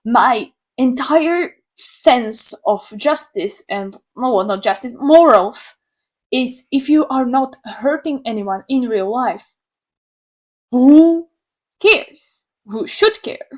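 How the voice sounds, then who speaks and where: Young woman from Slovakia, English, high voice, sarcastic, animated, swears casually. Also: takes it slowly. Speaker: YouTuber. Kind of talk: opinion rant to camera.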